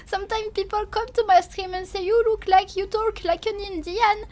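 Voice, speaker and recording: A French woman with a French accent chatting casually on a livestream, halting and repeating herself as she playfully protests to viewers. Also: very high voice, steady tone, darkish tone. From France. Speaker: livestreamer, VTuber.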